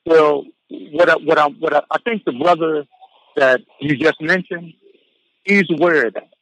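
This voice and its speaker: A man's voice: on the high side, steady tone, normal speed, a bit bright, no echo. A man being interviewed in a casual phone chat, speaking emphatically in slangy phrases and often repeating himself. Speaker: interview guest. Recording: phone call audio.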